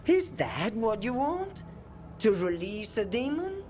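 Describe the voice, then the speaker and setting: Stern old game matriarch, slow, commanding, accusing, swoopy pitch, music behind. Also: female voice, very animated, a little mellow. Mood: accusing, cold. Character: stern, imperious elderly grandmother, head of an assassin family. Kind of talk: video game character acting.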